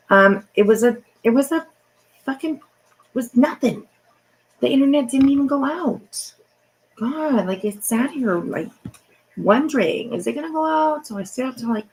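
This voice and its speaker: Livestream host, woman, middle-aged: creaky voice, somewhat low pitch for casual, rambling late-night chat. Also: a little mellow, rough recording. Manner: chatty, rambling, mild swearing. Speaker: live stream host.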